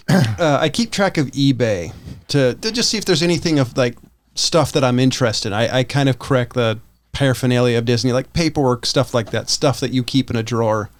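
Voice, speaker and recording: A man's voice: medium pitch, normal speed. A man hosting a podcast in a casual, chatty style. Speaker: podcast host.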